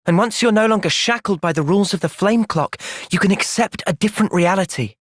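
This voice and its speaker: A man playing an earnest, gentle, resolute young soldier in video game cutscenes. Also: high-pitched, fairly quick, dry recording, clean studio sound. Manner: earnest, thoughtful. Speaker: voice actor.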